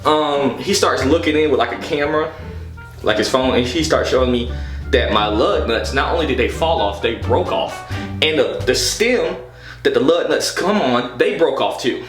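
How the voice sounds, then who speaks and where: Animated guy telling stories in an echoey room. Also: medium pitch, moderate pace. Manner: animated, exasperated, profane, slangy with repeated "you know what I'm saying". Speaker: storytelling vlogger. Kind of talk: casual comedic storytelling. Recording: echoey room with music behind.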